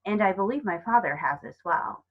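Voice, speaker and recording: A woman's voice: mid-range voice, lively intonation, brisk, narrow, tinny audio, lightly acted. A woman voicing an earnest, curious young girl from a storybook, with matter-of-fact childlike questioning.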